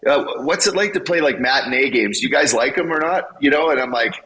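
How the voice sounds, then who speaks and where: A man co-hosting a podcast, a former hockey player, talking with energetic, playful hype. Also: medium pitch, fast, slightly rough, low-quality recording. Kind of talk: casual podcast chat.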